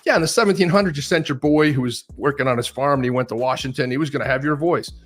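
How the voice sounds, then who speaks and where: A married man co-hosting a casual live stream chat, talking in an animated way with slang and swearing. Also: mid-range voice, fast, soft background music. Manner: animated, swearing, talks to others with "man" and "dude". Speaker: co-host.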